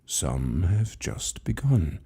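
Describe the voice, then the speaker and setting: Storybook old male librarian, acted by a male narrator: kindly, measured, low and breathy, never in a hurry. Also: big pitch swings, exaggerated voice. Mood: calm, solemn. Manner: slow, hushed, measured, gently apologetic. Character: benevolent, unhurried old male keeper of a mysterious library. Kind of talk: dramatized short story reading.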